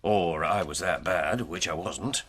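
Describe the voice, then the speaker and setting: An actor in a radio drama playing a genial, wry, self-assured retired police detective. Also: male voice, deep voice, unhurried, fairly bright tone, slightly rough, heavily acted. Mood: wry, reminiscing. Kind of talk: naturalistic radio drama acting.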